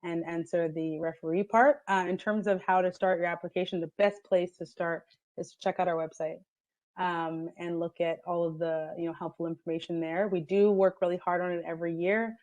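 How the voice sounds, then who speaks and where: A woman from the admissions office answering questions on a video call, steady and helpful. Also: lowish voice, no echo. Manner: steady, helpful, slightly halting. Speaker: admissions staff.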